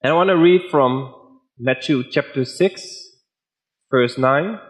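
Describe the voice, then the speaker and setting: A man with a Dutch accent, a guest preacher giving a sermon in simple, plain English at a measured pace. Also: medium pitch, poor audio.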